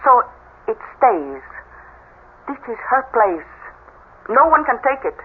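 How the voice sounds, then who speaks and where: An actress playing a proud, stubborn housekeeper with a Spanish accent, solemn and unyielding, in a radio mystery. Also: female voice, fairly high pitch, big pitch swings, takes it slowly, husky, full character voice. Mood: defiant. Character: proud, stubborn housekeeper devoted to her dead mistress. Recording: tinny telephone-like old radio recording.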